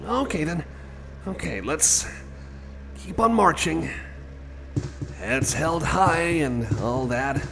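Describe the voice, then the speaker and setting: Male actor as nervous, friendly companion for improvised ASMR roleplay; slow, hesitant, stammering delivery with lively pitch movement. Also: gravelly. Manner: hesitant, halting, stammering, repeating phrases. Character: nervous, friendly male cyborg companion lost in endless corridors. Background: music.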